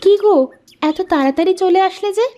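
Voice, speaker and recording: Woman playing a high-voiced village housewife. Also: a little fast, mellow. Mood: caring. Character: caring, inquisitive village housewife. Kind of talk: cartoon dialogue.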